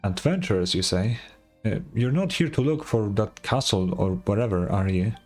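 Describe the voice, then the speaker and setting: A man's voice: lowish voice, lively intonation, normal speed, darkish tone, soft background music. A man voicing a polite, slightly flustered townsperson in a fantasy game, friendly and questioning. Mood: curious. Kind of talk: game dialogue read in character.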